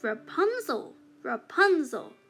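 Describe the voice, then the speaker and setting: A woman's voice: sits high, sing-song, slow, fairly bright tone, faint music behind, heavily acted. A woman voicing an earnest, gallant young prince in a children's fairytale. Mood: calling out.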